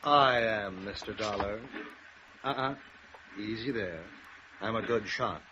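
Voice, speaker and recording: An American actor playing a smooth, condescending villain in a 1950s radio drama, mock-polite and unhurried in his menace. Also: lowish voice. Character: smooth, sneering villain, actor's agent holding a gun. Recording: noisy, muffled vintage radio recording.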